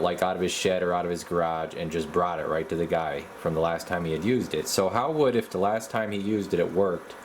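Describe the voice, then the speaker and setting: Low-voiced man talking through a repair. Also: a little hiss or hum. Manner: halting, folksy, thinking aloud. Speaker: radio repair technician and video host. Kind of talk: casual hands-on repair explanation.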